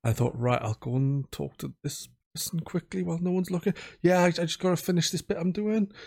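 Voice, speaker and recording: A man's voice: a little high, fairly quick, gravelly, darkish tone. A man chatting casually as a podcast host about video games, informal and self-deprecating.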